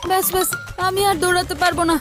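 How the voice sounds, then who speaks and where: A woman voicing an excitable, whiny young boy in a cartoon dub, full of exclamations. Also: very high-pitched, very fast, over music. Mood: tired, complaining.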